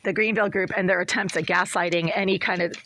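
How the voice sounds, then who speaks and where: A woman tenant with a raspy, breathless voice, recording an agitated complaint in her small apartment bathroom and hallway. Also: fairly low pitch, steady tone, fast talker, fairly bright tone, a little hiss or hum. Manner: agitated, relentless, repetitive listing. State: short of breath. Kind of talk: angry first-person complaint vlog.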